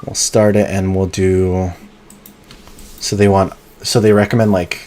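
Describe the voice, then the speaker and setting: Low-voiced man streaming a game. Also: a little slow, slight background noise. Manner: casual, muttering to himself between excited outbursts. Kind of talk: live gameplay commentary.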